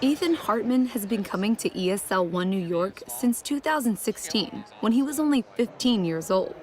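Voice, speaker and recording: A woman's voice: medium pitch, animated, moderate pace, a little mellow. A woman reading scripted documentary narration in the role of narrator. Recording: music behind the voice.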